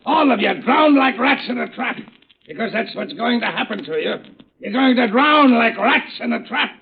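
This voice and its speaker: An actor playing a ruthless, gloating swindler and killer with a menacing, taunting, theatrical delivery in a 1930s-style radio thriller. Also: male voice, very high-pitched, lively intonation, moderate pace, creaky, full character voice. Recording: tinny, noisy, echoey old radio recording.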